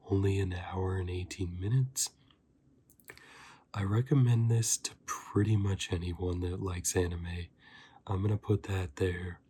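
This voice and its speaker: A man giving a softly spoken ASMR review as a host, breathy and often slipping into a whisper, chatting informally and rambling like a fan. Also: fairly low pitch. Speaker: host of an anime review channel.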